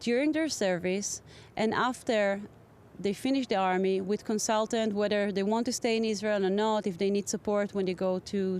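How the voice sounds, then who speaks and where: Woman, medium pitch, informal interview explanation. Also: a little mellow, clear, resonant tone. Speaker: support organization representative.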